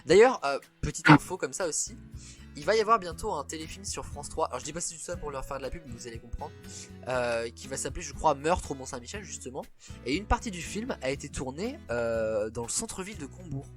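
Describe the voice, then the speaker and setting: A man's voice: fairly high pitch, lively intonation, a little fast, music in the background. A man hosting a quiz game in a casual, chatty way, rambling off into side remarks and poking fun at himself.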